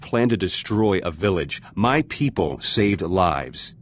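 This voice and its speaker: An actor playing a gruff, guarded revolutionary turned politician in a video game, speaking in blunt, clipped fragments. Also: male voice, sits low, lively intonation, takes it slowly, a little hiss or hum. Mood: grim, bitter. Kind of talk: video game character dialogue.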